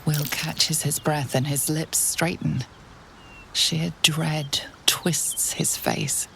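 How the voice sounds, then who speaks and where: A low-voiced, ominous fantasy storyteller delivers slow game narration. Her manner is grave, poetic and portentous, with a gravelly creak, over loud music. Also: steady tone, in a whisper, heavily acted. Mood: hushed, tense. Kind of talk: dramatic scripted game narration.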